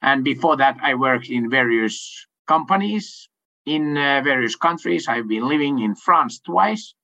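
Middle-aged man from Finland, native Swedish speaker; bright, forceful tone, hesitant delivery full of fillers, dull lo-fi call sound. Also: medium pitch, expressive, measured pace. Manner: hesitant, many fillers, laughs often. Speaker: sales coach and company owner. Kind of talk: casual interview answers.

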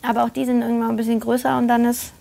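A woman in her forties, an actress and voice actor, talking as a guest in a casual chat, animated and quick to laugh. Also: a little high, creaky. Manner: animated, laughs easily, talkative.

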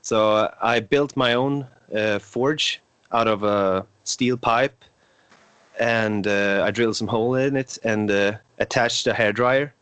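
Brief: Swedish-accented man in his twenties, podcast host, lowish voice, halting delivery full of fillers, dry close sound. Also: fairly bright tone, smooth, no echo. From Sweden. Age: 20s. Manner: hesitant, frequent filler sounds. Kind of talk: casual podcast chat.

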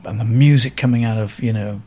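A man's voice: mid-range voice, steady tone, warm tone, a little hiss or hum. Thoughtful older Welsh man recalling the past. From Wales. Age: around 70. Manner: thoughtful, halting, with many fillers and restarts. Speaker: photographer guest. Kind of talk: reflective interview storytelling.

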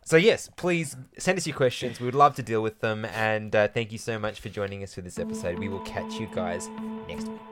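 An Australian man hosting a faith podcast, talking in an earnest, measured way. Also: fairly low pitch. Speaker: podcast host. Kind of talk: casual chat and podcast outro. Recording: music bed under the voice. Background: music.

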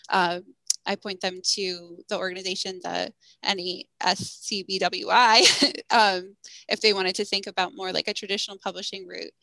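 A woman's voice: mid-range voice, gravelly, pushed and bright, no room sound, rough recording. A woman guest, a school counselor and author, answering interview questions in a friendly, thoughtful way with frequent ums.

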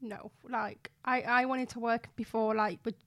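High-voiced young Yorkshire woman, chatting. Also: steady tone, measured pace, fairly bright tone, no echo, clean studio sound. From Bradford, West Yorkshire, England. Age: 20s. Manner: chatty, informal, self-joking. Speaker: interview guest, fashion blogger and influencer. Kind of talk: casual chat.

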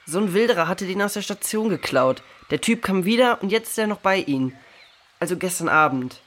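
A woman's voice: medium pitch, lively intonation, brisk, natural voice. A woman playing a cheeky, protective youngster on an adventure in a children's radio play, speaking in a casual, blunt way.

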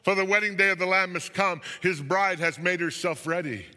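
Ecstatic voice of heaven by a male reader, raised and forceful, proclaiming praise in scripture drama, long reverb. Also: high-pitched, moderate pace, voice pushed up. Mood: jubilant. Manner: loud, ecstatic, declamatory. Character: vast heavenly throng shouting exultant praise.

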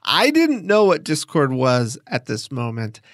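A man's voice: mid-range voice, wildly swooping pitch, unhurried, fairly bright tone, dry recording, studio quality. A man hosting a documentary podcast, narrating and interviewing in a casual, enthusiastic, conversational way.

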